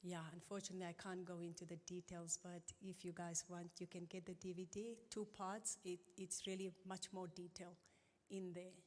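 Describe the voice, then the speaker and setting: A middle-aged woman giving an earnest, halting and emotional personal testimony at a church conference. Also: on the low side, little pitch movement. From South Africa. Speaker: conference guest speaker. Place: church auditorium. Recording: long reverb of a large church hall.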